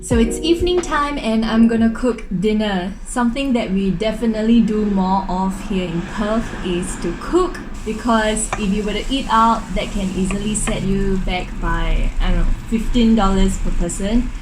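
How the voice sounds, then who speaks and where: A woman's voice: mid-range voice, moderate pace, hardly any pauses, over music. A young adult woman with a Singaporean accent, talking casually to camera in a vlog at home. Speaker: vlogger. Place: indoors in an open-plan apartment living room and kitchen.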